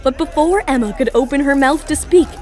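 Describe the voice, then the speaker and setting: A woman's voice: high-pitched, a little fast, darkish tone. A woman narrating a scripted audio drama in a dramatic storytelling style, with music underneath. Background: background music.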